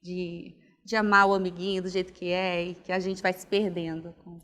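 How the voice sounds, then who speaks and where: A woman's voice: fairly low pitch, flat delivery, moderate pace, a bit bright. A young adult woman from Alagoas, a pedagogue and psychology student, answering interview questions in a reflective, casual way. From Northeast Brazil, Alagoas. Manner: reflective, frequent "né" and restarts. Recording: long hall reverb.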